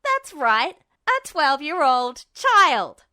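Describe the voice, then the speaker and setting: Woman acting a high-pitched, furious young boy. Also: sing-song, extremely slow, exaggerated voice. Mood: shouting, defiant. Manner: shouting, taunting, sweary. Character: furious, defiant, mocking twelve-year-old boy.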